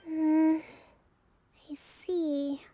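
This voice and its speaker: A woman playing a gentle young priestess leader in a video game, speaking softly and formally, composed but quietly weary. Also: high voice, little pitch movement, dry recording, soft background music. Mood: resigned. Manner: soft, breathy, measured and formal, with sighs. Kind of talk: video game character acting.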